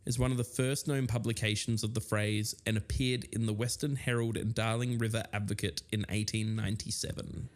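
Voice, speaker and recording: A man's voice: on the low side, little pitch movement, fairly quick, few pauses. An Australian man co-hosting a podcast, reading and reciting scripted material. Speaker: podcast co-host. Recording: roomy echo, distant microphone, music behind.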